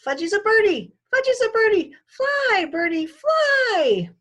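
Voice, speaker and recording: A woman voicing a babyish toddler boy who talks in high, squealing baby talk. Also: big pitch swings, a little slow, fairly bright tone, heavily acted. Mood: excited, wailing.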